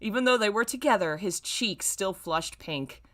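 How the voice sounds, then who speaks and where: A woman's voice: mid-range voice, animated, moderate pace, fairly bright tone. A young adult woman hosting a read-aloud of fan fiction, delivered theatrically with playful, exasperated casual asides. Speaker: host reading old fan fiction aloud with commentary.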